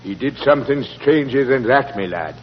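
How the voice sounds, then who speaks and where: An actor playing an old Irish caretaker in a 1940s radio drama, talking in a folksy, emphatic way. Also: male voice, medium pitch, expressive, normal speed, slight background noise, close to an everyday voice. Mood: insistent, boastful. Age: older. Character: old Irish caretaker with a wooden leg, excitable storyteller. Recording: muffled, echoing 1940s radio recording.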